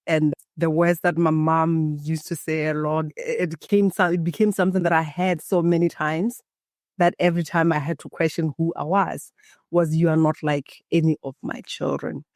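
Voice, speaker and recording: A woman from Botswana, a Setswana native speaker, guesting on a podcast and telling her story in a calm, guiding way, as if leading a meditation. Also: low voice, moderate pace, no room sound, studio quality. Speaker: guest, embodiment coach. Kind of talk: podcast interview, reflective storytelling.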